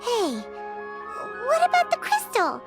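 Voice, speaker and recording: A woman voicing a tiny, excitable, childish fairy companion in a video game. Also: very high-pitched, a little slow, over music, heavily acted. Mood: urging. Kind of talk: video game character acting.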